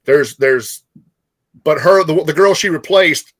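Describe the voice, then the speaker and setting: A man co-hosting a casual chat show, speaking informally in a halting but emphatic way. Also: a little high. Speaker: show co-host.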